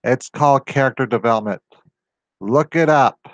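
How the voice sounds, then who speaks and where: A man's voice: medium pitch, moderate pace, no echo, lo-fi sound. A man hosting his own channel, talking through rambling tangents with exasperated complaints. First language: not Japanese. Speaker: video host. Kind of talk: casual solo commentary.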